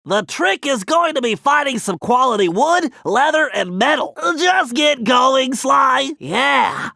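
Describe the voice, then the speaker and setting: A man's voice: very high-pitched, creaky, pushed and bright, dry recording, clean studio sound, full character voice. A man voicing an earnest, nerdy turtle tech genius in a video game, giving precise, urgent mission instructions. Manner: precise, technical, urgent briefing delivery.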